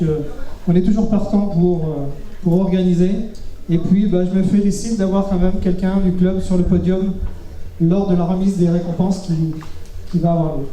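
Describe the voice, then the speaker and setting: Halting high-voiced man, very echoey. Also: warm tone. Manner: halting, searching for words. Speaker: cycling club official giving thanks. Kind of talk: unprepared public thank-you speech. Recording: echoey, distant event sound, faint noise.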